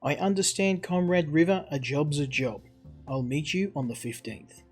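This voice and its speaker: A courteous police colonel read out by a man speaks formally in a visual novel. His voice sits a little high, and his polite words carry some concern. Light music plays underneath. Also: moderate pace, husky. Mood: formal, cordial.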